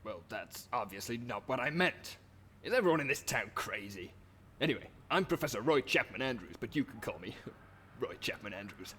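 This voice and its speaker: Casting: male performer as earnest explorer professor puppet, stammering and easily exasperated, mid-range pitch, comic musical theatre, faint noise behind. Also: animated, normal speed, fairly bright tone, lightly acted. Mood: earnest, dry.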